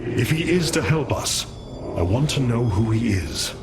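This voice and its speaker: A man's voice: mid-range voice, lively intonation, a little slow, lightly acted. A man voicing a dignified alien leader. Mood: reflective. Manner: formal, grave. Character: noble male alien leader, dignified and earnest. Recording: game audio with loud music. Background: game music.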